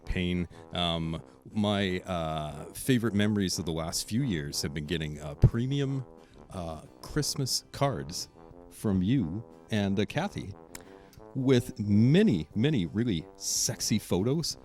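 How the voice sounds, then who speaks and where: A man's voice: low voice, animated, slow, a little mellow, faint music behind. A man in casual, joking chat on a panel show, exaggerating for comic effect.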